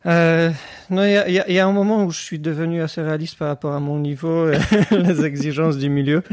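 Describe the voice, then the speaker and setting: A man's voice: fairly high pitch, fairly even intonation, fast talker, darkish tone, no room sound, clean studio sound. A man, a podcast guest talking casually and hesitantly with many fillers. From Poland. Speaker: guest, tech company cofounder.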